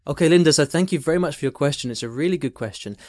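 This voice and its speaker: A man's voice: on the high side, very fast, darkish tone, dry recording, studio quality. A man hosting a podcast interview, recapping a listener question and asking friendly follow-ups. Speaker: podcast host.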